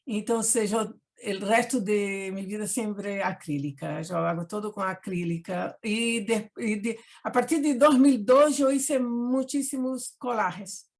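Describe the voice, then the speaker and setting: A woman's voice: medium pitch, slow, hardly any pauses, a little mellow. An older Brazilian woman speaking Spanish with a Portuguese accent, chatting expressively over a video call and dotting her talk with tag questions. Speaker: guest artist. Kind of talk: casual interview chat.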